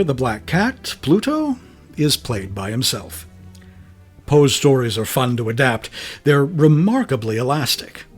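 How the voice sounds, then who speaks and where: A man hosting radio theater, giving a scripted introduction in theatrical, measured storyteller phrasing. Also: mid-range voice. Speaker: host, star and producer.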